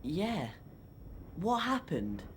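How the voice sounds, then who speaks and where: An actress playing an earnest young schoolboy wizard hero in a video game. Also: female voice, fairly low pitch, big pitch swings, drawn-out words, slight background noise, exaggerated voice. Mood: confused. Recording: clear music behind the voice.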